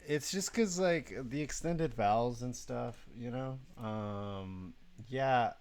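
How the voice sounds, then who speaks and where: A man's voice: mid-range voice, takes it slowly, darkish tone, clear, resonant tone. A young man from Mexico chatting casually on a live stream, sleepy, rambling and self-deprecating, swearing now and then.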